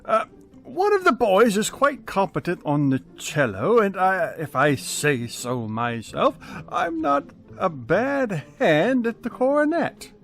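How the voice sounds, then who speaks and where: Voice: mid-range voice, big pitch swings, slow, fairly bright tone, faint music behind, exaggerated voice. An actor playing a pompous, grouchy middle-aged male school principal in a radio sitcom, speaking formally. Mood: awkward, formal. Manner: pompous, formal, hesitant when cornered. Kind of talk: old-time radio sitcom acting.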